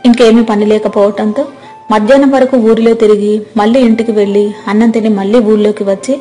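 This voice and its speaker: A female narrator reads a scripted moral story. Her voice is middle-pitched and dark-toned. Quiet music plays behind the narration. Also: steady tone, quick pace.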